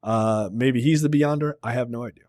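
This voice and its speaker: A man of middling pitch co-hosts a podcast into a studio mic. He talks loosely and swears a lot, and he gets excited and breaks into laughter often. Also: a little fast, dry recording. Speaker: podcast co-host. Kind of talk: casual chat.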